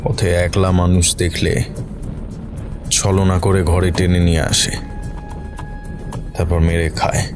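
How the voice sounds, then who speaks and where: A man's voice: sits low, flat delivery, takes it slowly, pauses often, voice pushed down. A man voicing a protective village husband in a horror audio story, reassuring and grimly explaining. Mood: grave, emphatic. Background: music behind the voice.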